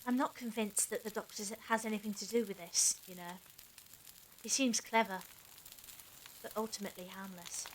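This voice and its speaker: A woman playing a level-headed, sceptical captive in a fan audio drama, with a measured, questioning manner. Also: medium pitch, fairly even intonation, slight background noise, close to an everyday voice, rough recording.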